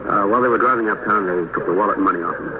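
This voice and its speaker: A man's voice: fairly low pitch, rapid-fire, close to an everyday voice. An American man acting as a dutiful, plain-spoken police officer making clipped, deferential reports in a 1950s radio drama. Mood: dutiful, steady. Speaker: actor playing police officers. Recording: tinny, noisy old radio recording.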